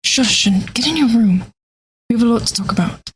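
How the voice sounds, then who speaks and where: A woman's voice: fairly high pitch, expressive, a little fast, background noise, close to an everyday voice. Woman playing poised, bossy princess. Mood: scolding. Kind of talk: audio drama acting.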